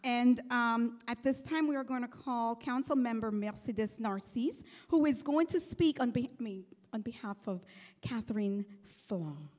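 A woman's voice: on the high side, moderate pace, darkish tone. A woman emcee announcing the next speaker at an event. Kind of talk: stage announcement. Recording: distant, long hall reverb.

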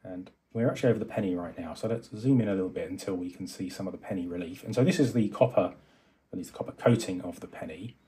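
A man's voice: deep voice, fast, mellow. A man hosting an electronics video, narrating a hands-on demonstration at his desk, thinking aloud with fillers and self-corrections. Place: desk with microscope and monitor, indoors.

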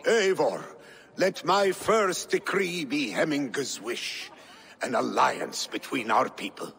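An actor playing a humble, dignified old Viking jarl in a video game cutscene, speaking in a halting, solemn, gravelly way. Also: male voice, mid-range voice, fairly bright tone. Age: older. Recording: long hall reverb.

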